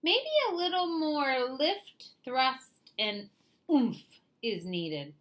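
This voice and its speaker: A woman's voice: sits high, very animated, very slow, fairly bright tone, pitched above the performer's own voice, full character voice. Woman voicing a sly, smug, swooping storybook fox. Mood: scheming. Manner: boastful, theatrical, wheedling and dismissive. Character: sly, smug, condescending male fox schemer. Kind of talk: children's storybook character voice.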